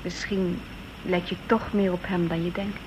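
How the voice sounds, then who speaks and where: An actress playing a polite, perceptive assistant in a Dutch radio drama, speaking gently and with measured deference. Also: female voice, on the low side, little pitch movement, muffled recording, natural voice. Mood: gentle, tentative. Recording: old radio recording with faint noise.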